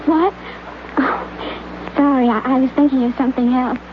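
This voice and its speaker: A young actress plays a gentle, trusting young woman who speaks softly and stumbles over her words in a 1950s radio drama. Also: high-pitched, steady tone, moderate pace, natural voice. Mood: wistful. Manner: hesitant, soft-spoken, stumbles over words. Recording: tinny, hissy old radio broadcast.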